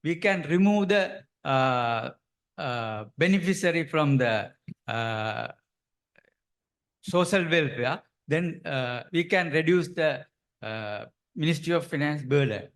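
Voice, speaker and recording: A man with a Sri Lankan accent, an official commenting from the audience at a conference in halting, short phrases with non-native grammar. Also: fairly high pitch, animated. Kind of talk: unscripted comment at a conference discussion.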